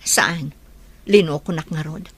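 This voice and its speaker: A woman's voice: deep voice, a little slow, slightly rough, heavily acted. A woman with an Ilocano accent playing an earnest, emotional young woman in a family radio melodrama. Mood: earnest, plaintive. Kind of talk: Ilocano radio drama acting.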